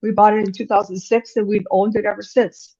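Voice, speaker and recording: A woman's voice: medium pitch, level tone, a little fast, mellow, lo-fi sound. A woman in her sixties, a business owner telling her story in an interview in a frank, direct and chatty way. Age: 60s. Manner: frank and direct, chatty, acts out quoted dialogue.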